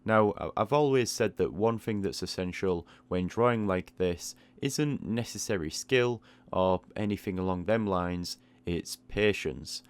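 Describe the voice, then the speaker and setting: Low-voiced man explaining things casually. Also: a little slow, clear, resonant tone. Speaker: art tutorial host. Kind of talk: casual explanatory voice-over about a drawing process.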